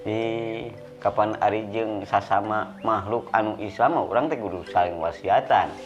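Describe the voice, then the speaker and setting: A man's voice: on the low side, normal speed, highs cut off, soft background music, pitched below the performer's own voice, natural voice. A man playing a humble, poor debtor who pleads patiently and moralizes in a Sundanese folk story, with a Sundanese accent. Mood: earnest.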